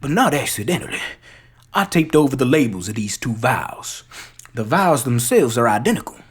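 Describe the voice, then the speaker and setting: A theatrical gentleman detective acted out by an amateur reciter, husky and grandiloquent. The delivery swings widely in pitch as each deduction is laid out with righteous deliberation, like a film monologue. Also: very animated, normal speed. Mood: solemn, gentle.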